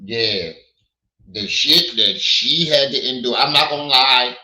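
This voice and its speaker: A hoarse man hosting a casual livestream, blunt and quick to laugh while greeting his chat. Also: mid-range voice, pushed and bright. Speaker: livestream host.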